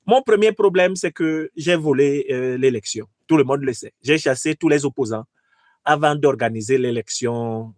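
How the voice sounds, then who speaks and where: A man playing an insecure, sheepish military president in a satirical skit, halting and repeating his phrases as he confesses and pleads. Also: mid-range voice, no echo, studio quality, natural voice.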